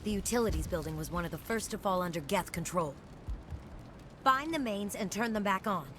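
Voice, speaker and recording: A woman's voice: medium pitch, lively intonation, fairly quick, soft background music, lightly acted. Actress as brusque, harried colony woman. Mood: harried, urgent. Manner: curt, no-nonsense. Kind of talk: video game dialogue.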